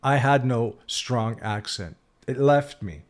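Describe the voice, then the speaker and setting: A man of sixty, speaking at an ordinary middle pitch. He talks in a loose, reflective way, full of fillers and self-corrections, and turns emphatic when he disagrees. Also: slow pace. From Canada. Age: 60s. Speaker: spiritual vlogger. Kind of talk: reflective solo talk.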